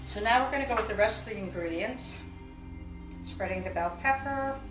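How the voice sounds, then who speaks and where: A woman's voice: a little high, moderate pace. Older lady chatting thru a recipe at home, kinda far from the mic, roomy kitchen, music under it. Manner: chatty, self-correcting, talks while working with her hands. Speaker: home cooking vlogger. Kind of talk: casual cooking demonstration. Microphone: far camera.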